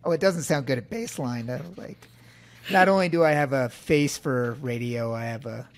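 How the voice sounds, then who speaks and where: Gravelly-voiced man hosting a casual chat. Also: mid-range voice, normal speed. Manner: self-deprecating, rambling with restarts. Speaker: physician host. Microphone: large studio mic close up, headphones on.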